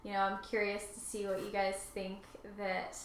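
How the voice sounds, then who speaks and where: A woman's voice: mid-range voice, completely flat delivery, moderate pace. A woman chatting casually and reading aloud as a co-host, informal and conversational. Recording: echoey room with faint noise.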